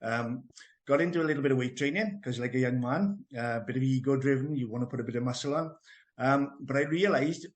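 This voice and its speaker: A man's voice: mid-range voice, quick pace. A middle-aged or older man with a South Wales accent, giving a rambling, enthusiastic personal account as an interview guest. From Merthyr Tydfil, Wales. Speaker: interview guest, health business owner and trainer.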